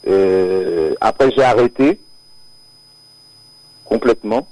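A man with a lowish voice speaks slowly and haltingly, full of fillers and restarts, laughing now and then. He is a singer from Martinique who grew up near Paris, heard over a live radio broadcast. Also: animated, warm tone, no echo, poor audio. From Martinique, born near Paris. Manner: hesitant, many fillers and restarts, laughs often. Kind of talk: live radio interview, casual chat.

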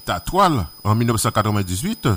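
A man hosts a live radio show with a lively voice that rises and falls a lot. He chats easily and keeps the talk moving, heard as broadcast audio from the studio. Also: on the low side, quick pace. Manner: relaxed, chatty, nostalgic asides. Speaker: radio host.

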